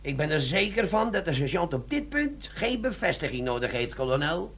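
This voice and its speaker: Gravelly, roguish army sergeant. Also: male voice, on the high side, sing-song, moderate pace, a bit bright, slight background noise. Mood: placating. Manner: cheeky, glib. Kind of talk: Dutch comic crime radio play acting.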